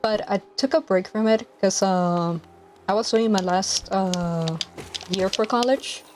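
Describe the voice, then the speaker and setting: A young woman in her twenties chats casually on a live stream while she plays. She speaks softly and hesitantly, with many fillers. Her mic is clipped to her collar, and faint game music runs underneath. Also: medium pitch, a little slow. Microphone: lapel mic clipped to collar.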